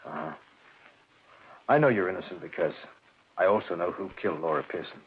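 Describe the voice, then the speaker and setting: An actor playing a police lieutenant who calmly admits to a murder, in a 1940s radio drama. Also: male voice, fairly quick, slightly rough. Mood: cold calm. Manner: calm, low, matter-of-fact. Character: cold, calculating police lieutenant hiding a murder. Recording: tinny, faintly hissy old radio recording.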